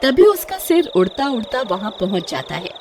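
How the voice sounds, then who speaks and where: A woman reading scripted story narration for a cartoon, with loud noisy ambience around her voice. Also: fairly high pitch, sing-song, normal speed.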